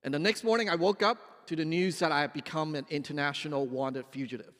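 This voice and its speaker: A man's voice: fairly high pitch, fast talker, a bit bright. A man in his forties from Hong Kong giving an earnest, emphatic dinner speech as an activist guest speaker, with some restarts. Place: large banquet hall. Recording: echoey hall with long reverb.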